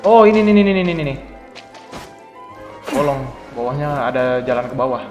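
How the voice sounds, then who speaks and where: A man's voice: mid-range voice, animated, fast. A young man with an Indonesian accent giving casual gameplay commentary as a streamer. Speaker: gaming streamer. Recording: echoey room, game music behind. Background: video game music.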